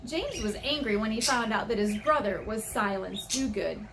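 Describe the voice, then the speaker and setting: American female elementary teacher for children's read-aloud, higher-pitched, very animated, expressive teacherly delivery. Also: moderate pace. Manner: expressive, teacherly, emphasizes and repeats key words, questions listeners. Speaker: elementary teacher reading a picture book aloud.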